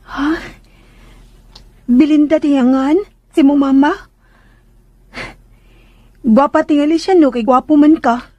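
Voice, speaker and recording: Casting: chatty young Filipino maid, radio soap, very high whiny voice, deferential and hesitant. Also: female voice, fairly even intonation, slow, long pauses, warm tone, dull audio. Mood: chatty, earnest. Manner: flustered, hesitant, whiny pleading. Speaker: radio drama actress. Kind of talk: Filipino radio drama acting.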